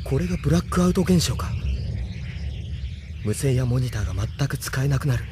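A man's voice: mid-range voice, lively intonation, brisk, noisy background, lightly acted. A man playing a brave, idealistic young mecha pilot in an audio drama, thinking aloud dramatically. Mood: reflective, earnest. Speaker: voice actor in radio drama. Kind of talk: anime-style audio drama acting.

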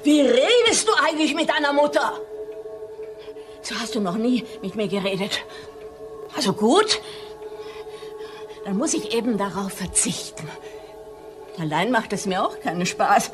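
A fussing, possessive mother in a crime radio play. Her high voice moves in animated, plaintive swings, with music clearly behind it. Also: unhurried, pauses often. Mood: wounded reproach. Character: possessive, reproachful mother of a grown son.

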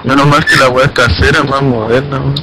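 A man's voice: mid-range voice, level tone, a little fast, low-quality recording. A Chilean man making casual, slangy and sweary banter during a team video game. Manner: slangy and profane. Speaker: video gamer. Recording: loud game music and sound underneath. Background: video game soundtrack.

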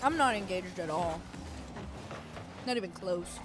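A woman in her twenties chatting casually on a live stream, reading and answering chat with excitable bursts. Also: fairly low pitch, unhurried. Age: 20s. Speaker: esports co-streamer. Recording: game music under the voice. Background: game music and car sounds.

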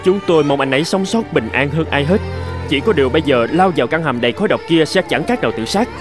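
A man's voice: a little high, lively intonation, fast, music in the background, natural voice. A man voicing an anxious, earnest rescue worker in a cartoon drama. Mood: anxious, urgent.

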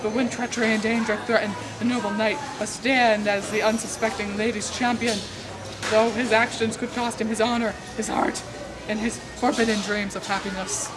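A woman's voice: fairly high pitch, little pitch movement, moderate pace, rough recording. A woman in her twenties giving a playful, theatrical dramatic reading of a romance novel, with amused, mocking asides. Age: 20s. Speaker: amateur vlogger reading aloud. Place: indoors among book aisles of a store or library. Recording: busy public space with loud crowd murmur.

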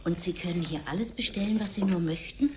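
A woman's voice: lowish voice, fast talker, background noise, natural voice. An actress playing a self-assured, persuasive lady guest with expensive tastes in a 1970s radio play. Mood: encouraging.

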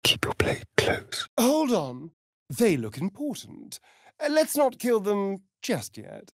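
A vain British vampire rogue for a fantasy video game. He drawls slowly and archly, swinging theatrically across his range with catty, dry wit. The recording is close and dry. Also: high voice, huge pitch swings, a bit bright, no room sound, heavily acted. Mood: sly. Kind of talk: dramatic video game acting.

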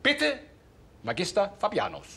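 Pompous older male schoolmaster, playful TV drama, high-pitched declamation. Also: wildly swooping pitch, unhurried, sharp tone, full character voice. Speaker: teacher. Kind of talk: TV drama acting.

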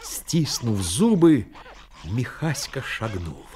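A man narrating a Soviet radio play dramatically, with intense, measured storytelling. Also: medium pitch, huge pitch swings, a little mellow. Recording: old radio recording with noisy effects behind.

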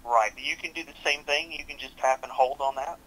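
A man's voice: medium pitch, brisk, fairly bright tone, poor audio. A young man working as a tech support advisor on a headset, politely and informally walking a customer through steps. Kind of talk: customer service walkthrough. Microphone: headset on a video support call.

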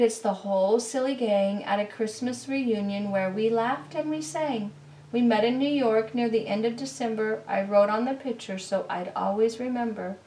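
A middle-aged woman reading a rhyming picture book aloud to children in an expressive, sing-song way. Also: fairly high pitch. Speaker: read-aloud storyteller for children. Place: room at home beside a Christmas tree. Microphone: camera mic at some distance. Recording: roomy, distant camera recording.

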